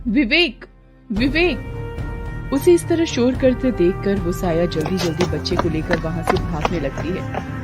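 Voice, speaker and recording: A woman narrating a scripted horror story in a dramatic storytelling style. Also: lowish voice.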